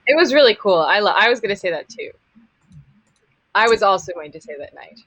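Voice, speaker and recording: A woman with a bright, forward voice in a dry recording. She chats casually, stringing loose, informal phrases together like a voice actor off script. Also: medium pitch, lively intonation, brisk, no room sound. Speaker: voice actor on the show. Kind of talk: casual chat.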